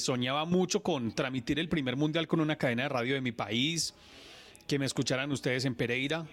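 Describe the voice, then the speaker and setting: A Colombian radio sports journalist, a man, telling his stories in an earnest, animated way during a radio interview. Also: mid-range voice.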